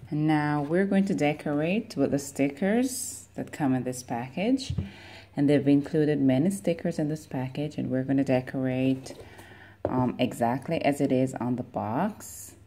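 A woman narrating a toy unboxing, gently explaining each step as she builds a set at a table. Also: extremely low pitch, measured pace. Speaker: toy reviewer. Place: indoors at a table, voice behind the camera.

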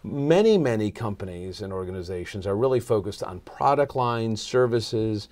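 A man's voice: lowish voice, lively intonation, moderate pace, darkish tone. A middle-aged American man answering as an interview guest in a conversational, earnest way, voicing bits of dialogue as he explains. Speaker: podcast guest, marketing consultant. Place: small indoor podcast studio. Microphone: lapel mic.

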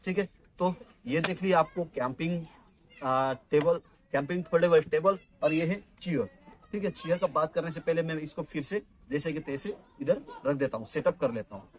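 A man's voice: on the high side, normal speed. Chatty man reviewing gear to camera. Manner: chatty, many fillers and restarts, addresses viewers as guys. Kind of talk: casual product unboxing vlog. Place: indoors at home, later on an open rooftop outdoors.

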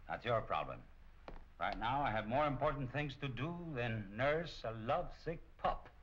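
A middle-aged actor playing a smooth-talking, cynical crime boss in a 1940s film, with a sardonic, casual manner. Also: male voice, mid-range voice, expressive, normal speed, fairly bright tone, natural voice. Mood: cool, sardonic. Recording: muffled, noisy 1940 film soundtrack.

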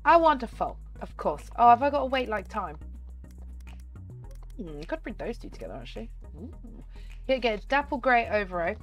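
A young woman with a cold, hoarse and chatty, commenting on a horse game she plays at home into a desk mic. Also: fairly high pitch, big pitch swings, normal speed, faint music behind. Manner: chatty, talks to the game and viewers. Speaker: gaming channel host. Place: home room, indoors. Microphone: desk microphone on stand.

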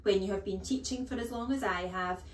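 A woman voicing a bullying, booming headmistress who barks her words slowly and with contempt, for an audiobook. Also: reverberant, close to an everyday voice. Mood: barking, scornful. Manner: loud, barking, slow and contemptuous. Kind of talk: audiobook character voice.

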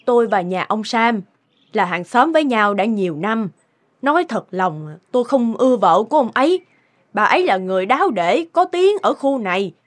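A woman voicing a frank, gossipy neighbor in an animated moral tale, chatty and confiding. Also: fairly high pitch, normal speed. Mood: confiding gossip. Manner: chatty, confiding, hesitating to recall.

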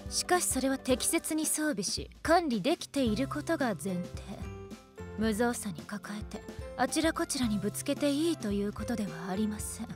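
A woman's voice: fairly high pitch, lively intonation, moderate pace, over music, natural voice. A woman playing a strict, composed magic-academy teacher with coolly menacing politeness and precise scolding, in an anime-style radio drama. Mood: strict, instructive.

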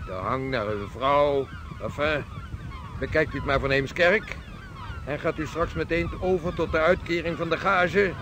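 An actor playing a driven, devout sixteenth-century ship's captain in a radio drama, speaking formally and with command. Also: male voice, on the high side, unhurried, noisy background, lightly acted. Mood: brisk, commanding.

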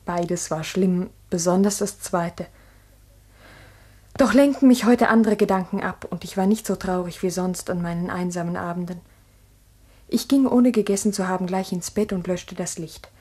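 An actress playing a lonely village schoolteacher, narrating in the first person in a 1960s radio play, earnest and introspective. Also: female voice, medium pitch, warm tone, breathy edge, close to an everyday voice. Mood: reflective. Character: lonely, brooding village schoolteacher recounting her night. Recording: 1960s mono radio recording.

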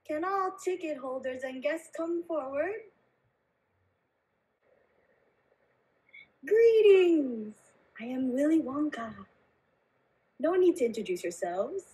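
A woman's voice: high-pitched, a little mellow, low-quality recording. A woman voicing an eccentric, brusque male candy maker in an amateur puppet show, theatrical and dismissive.

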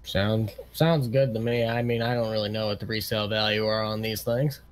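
A man's voice: lowish voice, level tone, brisk, lightly acted. A man playing an easygoing farm equipment dealership salesman in casual, rambling improvised game role-play. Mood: casual, businesslike.

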